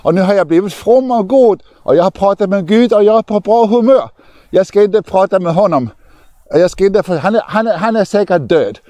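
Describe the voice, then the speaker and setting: A man's voice: sits high, expressive, dark tone, dry recording. A man voicing a smug, evasive priest.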